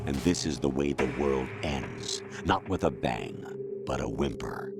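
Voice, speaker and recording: Very deep, condescending male AI overlord. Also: lively intonation, creaky, exaggerated voice. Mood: cold lecturing. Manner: measured, condescending, lecturing. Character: cold, condescending male artificial intelligence, self-appointed ruler of humanity. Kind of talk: scripted video game cutscene acting. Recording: loud music underneath. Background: loud music.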